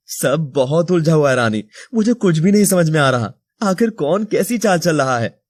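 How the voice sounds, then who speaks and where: Guy acting a troubled young husband in an audio drama, kinda high voice, faint music behind. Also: expressive, moderate pace, dry recording. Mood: confused, explaining. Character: troubled, jealous young husband, earnest and confused. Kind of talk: acted Hindi audio story dialogue.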